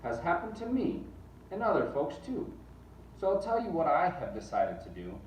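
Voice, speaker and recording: A man's voice: on the high side, wildly swooping pitch, moderate pace, pitched above the performer's own voice. A man voicing a breezy, bossy, confident chap in a children's storybook. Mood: breezy, inviting. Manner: confident, persuasive, smug. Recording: distant mic, roomy echo, faint noise.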